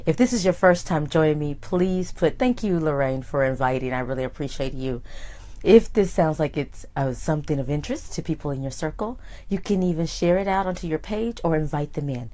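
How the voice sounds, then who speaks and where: A woman with a low, hoarse voice hosts a live motivational broadcast. She calls out viewers by name and her voice jumps up in bright, excited exclamations. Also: brisk. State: hoarse, deeper voice than usual. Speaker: host and life coach. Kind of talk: live-streamed motivational talk.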